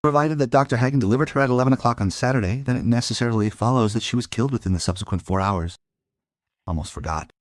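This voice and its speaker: A man narrating a crime audio drama as a police investigator, deadpan, terse and world-weary. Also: medium pitch, quick pace, no room sound, natural voice. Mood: dry, sober. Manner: deadpan, terse, matter-of-fact. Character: male police investigator narrating his murder case, dry and world-weary.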